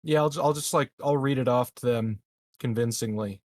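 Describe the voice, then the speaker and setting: A man with a mid-pitched voice talks casually as a player at a tabletop game. The sound is dry, with no room around it. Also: brisk, a little mellow, no room sound.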